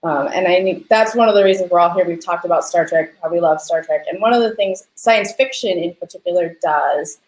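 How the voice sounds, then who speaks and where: A woman's voice: on the low side, a little fast, few pauses, darkish tone. A woman hosting a conversational discussion, speaking in a thoughtful, articulate way. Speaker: host. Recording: roomy, echoing sound.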